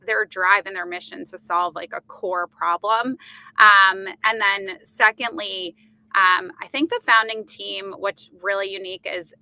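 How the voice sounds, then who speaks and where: A woman's voice: mid-range voice, sharp tone, dry recording, rough recording. A woman in her thirties from New York with a New York accent, answering interview questions fluently with frequent fillers and restarts. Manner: fluent, many fillers and self-corrections. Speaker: guest, company executive. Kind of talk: podcast interview.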